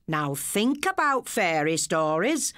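An English woman voicing an eager, rambling male schoolteacher in a storybook, deliberate and lecturing. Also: fairly low pitch, very animated, fairly bright tone, clean tone, no room sound, full character voice. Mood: insistent.

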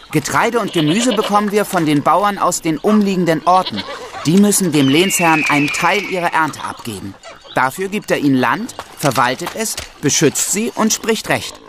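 A man's voice: fairly high pitch, animated, normal speed, noisy background, natural voice. A young man playing an eager, proud medieval squire who explains things to visiting children in an audio drama. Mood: explaining. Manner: explanatory, enumerating.

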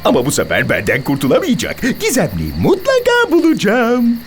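Manic, high-pitched cartoon adventurer. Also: female voice, huge pitch swings, brisk, over music. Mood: boastful, menacing. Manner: bombastic, frantic, exaggerated. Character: boastful, manic forest-man adventurer hunting an animal. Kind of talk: children's cartoon voice acting.

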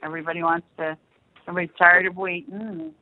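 A woman's voice: fairly low pitch, flat delivery, fast. Woman, middle-aged or older, casual family phone chat, hesitant delivery, thin telephone sound. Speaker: mother on a phone call, minding a baby. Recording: tinny, band-limited phone line.

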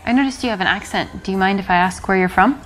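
A woman playing the date in a live-action dating game, answering in a calm, patient and earnest way. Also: medium pitch, quick pace. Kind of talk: scripted acted dialogue.

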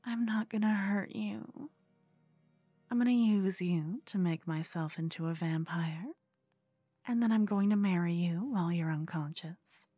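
A woman's voice: fairly low pitch, measured pace, dark tone, breathy edge, no echo, faint music behind. A woman playing a cute, obsessed, scheming yandere con woman in an ASMR roleplay, coy and cooing, often slipping into whispers. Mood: soothing, sinister.

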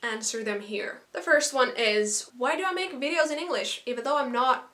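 A woman's voice: high voice, moderate pace, pushed and bright. Bubbly young Finnish woman vlogging. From Finland. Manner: chatty and earnest, with little laughs. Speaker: YouTuber. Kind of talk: casual talk to camera. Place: small bedroom, indoors. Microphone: camera in front at close range.

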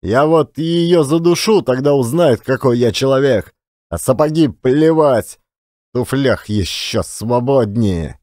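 Young male braggart performed by a male reader, cocky and taunting, lively pitch, dry audio-drama sound. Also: unhurried, dry recording, lightly acted. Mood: defiant. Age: young adult. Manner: boastful, taunting. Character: cocky young idle kept lover, a billiard braggart.

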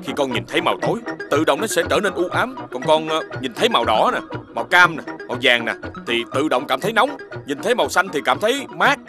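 Someone doing a gentle cartoon parent explaining stuff, lively pitch, loud music behind. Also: quick pace, close to an everyday voice. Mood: patient, explaining. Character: patient cartoon animal parent explaining lessons to children. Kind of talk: children's cartoon dub.

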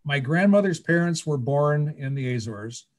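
A man's voice: on the high side, expressive, normal speed, darkish tone. Plainspoken older man on a video call. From California. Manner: plainspoken, wry asides. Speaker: guest panelist. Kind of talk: casual storytelling on a video call. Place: small office, indoors. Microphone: video call webcam.

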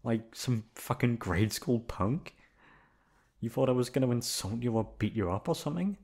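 A young man playing a gruff but affectionate, protective delinquent student in an intimate ASMR roleplay, talking in a casual, foul-mouthed way. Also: mid-range voice, darkish tone. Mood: indignant, angry.

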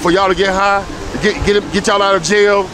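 A man's voice: high voice, fairly even intonation, moderate pace, background noise. A man giving a blunt, profane lecture in casual street talk, speaking into a handheld phone in a rainy parking lot. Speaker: street interviewer.

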